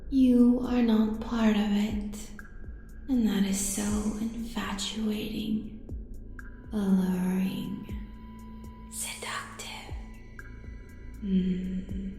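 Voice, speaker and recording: A woman's voice: mid-range voice, little pitch movement, very slow, long pauses, dark tone. Woman as cosmic female villain for horror audio: heavily echoed, languid, seductive, commanding, faint music behind. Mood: seductive, fascinated. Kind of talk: horror audio roleplay acting. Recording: long echoing reverb with faint music.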